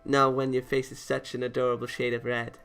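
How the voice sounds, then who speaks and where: A man voicing a teasing, determined young angel girl from a visual novel, brisk and matter-of-fact. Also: medium pitch, completely flat delivery, vocal fry, a bit bright, clear, resonant tone. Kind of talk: visual novel character read-aloud. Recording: music behind the voice.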